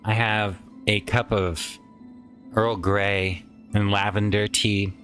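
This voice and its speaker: A man's voice: lowish voice, flat delivery, slow pace, a bit bright, soft background music. A man casually commenting while playing a video game, chatty and rambling with some swearing, his voice tired from a lot of recent use. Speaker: let's play host.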